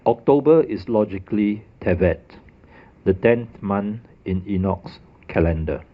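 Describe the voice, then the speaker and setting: A man's voice: fairly low pitch, animated, unhurried, muffled recording. A man narrating a methodical, scripted explanation of dates and a calendar puzzle. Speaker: religious video presenter.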